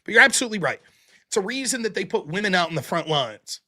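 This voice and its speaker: A man hosting a livestream, reading out viewer donations and reacting, with a high-pitched voice and heavy breathing, profane and prone to yelling. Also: fast talker. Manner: animated, profane, yells about politics. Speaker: livestream host reading super chats. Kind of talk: casual livestream chat.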